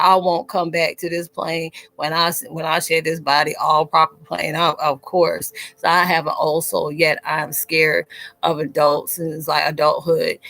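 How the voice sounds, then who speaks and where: A woman's voice: sits low, creaky, fairly bright tone. A woman in her forties hosting a live stream, chatting casually and laughing as she answers viewer comments. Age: 40s. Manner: chatty and laughing, self-described psychic.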